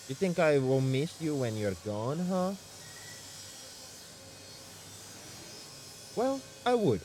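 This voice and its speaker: A man's voice: fairly high pitch, darkish tone. A man playing a young tsundere spaceship mech engineer in an audio roleplay, defensive about his feelings as he speaks straight to the listener. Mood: upset, caring. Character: gruff-caring tsundere male mech engineer, young adult. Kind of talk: ASMR roleplay acting, addressed to the listener.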